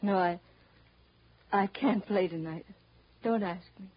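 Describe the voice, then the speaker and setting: An actress playing a weary, gentle, resigned showboat performer in a 1930s radio drama, speaking haltingly. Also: female voice, mid-range voice. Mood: unwell, evasive. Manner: halting, pleading. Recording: muffled, noisy 1930s radio broadcast recording.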